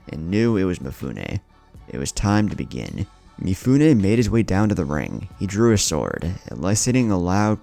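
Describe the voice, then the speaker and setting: A male narrator reads scripted adventure fiction in a dramatic manner. His voice is low and gravelly, with lively movement in pitch as he tells the story. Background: music bed.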